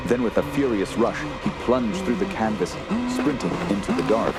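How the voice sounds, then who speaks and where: An actor playing a fearful, haunted camper, narrating a horror tale in a solemn, measured and dramatic way. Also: male voice, fairly low pitch, animated, over loud music, lightly acted. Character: haunted, fearful male wilderness camper telling his tale. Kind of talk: scripted first-person horror narration.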